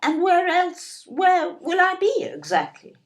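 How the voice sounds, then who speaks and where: An actress playing a fussing, incredulous older British wife in an audio play, fretful and full of exclamation. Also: female voice, very high voice, very animated, measured pace, heavily acted. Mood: indignant. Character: fussing, indignant older wife, doubting her husband.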